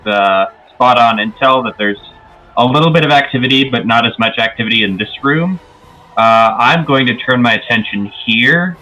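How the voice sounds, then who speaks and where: Bright-voiced man playing a tabletop game. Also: medium pitch, low-quality recording. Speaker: player. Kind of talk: describing his character's actions.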